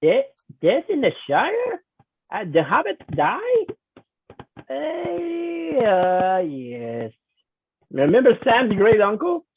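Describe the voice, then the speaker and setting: A performer voicing a hapless little hobbit jester haltingly at an amateur table read over a call. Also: mid-range voice, wildly swooping pitch, breathy edge, no room sound, full character voice. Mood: hesitant. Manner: hesitant, halting. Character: small hapless male hobbit jester, unsure. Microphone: online call microphone. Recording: muffled, phone-like call audio.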